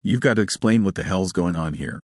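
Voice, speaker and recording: Man as blunt, insistent young man, low-voiced. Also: a little fast, dry recording, studio quality. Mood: demanding. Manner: direct, insistent.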